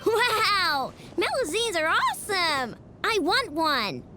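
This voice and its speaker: Very high-pitched, excitable game girl. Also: takes it slowly, very noisy, heavily acted. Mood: excited. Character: excitable, bossy girl companion, bold and impulsive. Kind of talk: video game voice acting.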